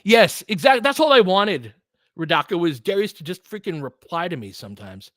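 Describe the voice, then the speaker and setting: A podcast host chatting casually with viewers, telling a rambling story full of fillers and restarts. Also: male voice, high-pitched, sing-song, normal speed, fairly bright tone, dry recording, clean studio sound. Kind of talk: casual livestream chat answering viewers.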